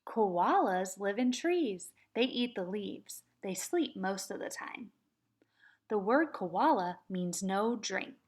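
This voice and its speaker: A woman kindergarten teacher reading aloud to young children at a very unhurried pace. She draws out words in a patient, sing-song chant so the class can repeat after her. Her voice sits at an ordinary middle pitch. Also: animated.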